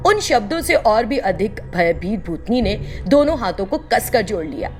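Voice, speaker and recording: A woman's voice: mid-range voice, very animated, normal speed, darkish tone, soft background music. A woman narrator reading a scripted children's tale in a storytelling style.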